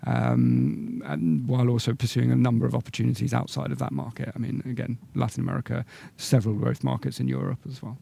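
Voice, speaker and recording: Gravelly-voiced male executive, measured and corporate. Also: mid-range voice, warm tone. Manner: measured corporate phrasing, hesitant and repetitive. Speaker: company executive answering questions. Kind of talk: business earnings call interview answers.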